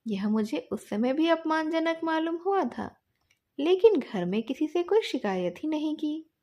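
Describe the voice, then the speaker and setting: A woman tells a story in the first person. Her voice sits very high and swings widely in melody, while the delivery is unhurried, like bedtime narration. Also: sing-song, darkish tone.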